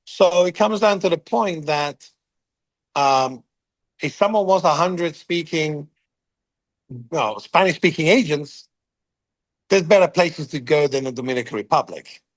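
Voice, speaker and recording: A man with a somewhat high voice answers interview questions as a company head. He talks in quick bursts, with lots of fillers and restarts. The sound is dry and close, with no room echo. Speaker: CEO of a contact center firm, guest. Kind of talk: business interview, unscripted.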